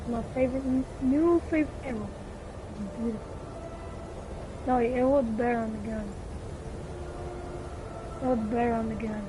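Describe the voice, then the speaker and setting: A woman's voice: fairly high pitch, normal speed, long pauses. A woman chatting casually while playing a video game. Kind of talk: casual chat during gaming. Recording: noisy home recording, clear background noise. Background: background noise.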